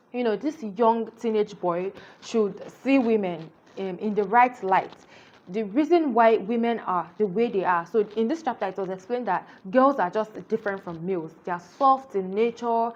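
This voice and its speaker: A woman giving a casual spoken book review, earnest and explanatory, often filling pauses with "you know". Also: mid-range voice, lo-fi sound.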